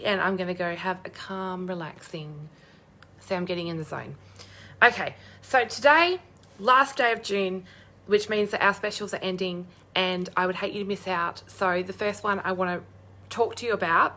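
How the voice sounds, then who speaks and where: A young woman with a hoarse, croaky voice chatting bubbly on a live stream, greeting viewers by name. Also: medium pitch, pushed and bright, slight background noise. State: hoarse, losing her voice. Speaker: crafting demonstrator hosting a live stream.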